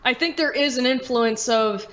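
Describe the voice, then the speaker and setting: A woman's voice: on the high side, normal speed, a bit bright, muffled recording. A woman talking casually and informally as a podcast host, with opinions and some swearing. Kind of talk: casual opinionated chat about gaming.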